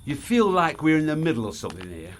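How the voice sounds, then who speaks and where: A man's voice: on the high side, very animated, quick pace, a little hiss or hum. Man acting blunt, bitter working-class townsman. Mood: curious. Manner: blunt, folksy, says aye. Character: plain-spoken, bitter working-class townsman, ex-miner type. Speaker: actor in audio drama. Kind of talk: audio drama acting.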